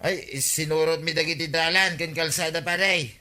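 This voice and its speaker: A man's voice: fairly high pitch, steady tone, normal speed, sharp tone. An actor with an Ilocano accent playing a worried village man searching for his lost cow in a radio comedy drama. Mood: anxious.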